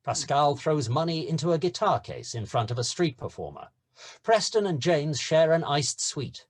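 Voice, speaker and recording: A man reads screenplay directions as scripted narration. His voice is husky and slightly high, with lively swings in pitch from phrase to phrase. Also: moderate pace. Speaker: narrator reading stage directions.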